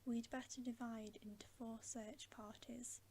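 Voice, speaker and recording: A woman's voice: fairly high pitch, level tone, measured pace, mellow, a little hiss or hum, natural voice. A woman voicing an earnest, anxious boy in an insistent storybook whisper. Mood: resolute. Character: earnest, anxious boy, eager to take charge.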